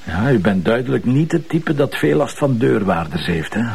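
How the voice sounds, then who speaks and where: An actor playing a sardonic, self-assured police commissioner with a Flemish accent, mocking and probing in a naturalistic TV crime drama. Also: male voice, mid-range voice, big pitch swings, normal speed, gravelly, darkish tone, slight background noise. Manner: mocking, probing, dryly ironic.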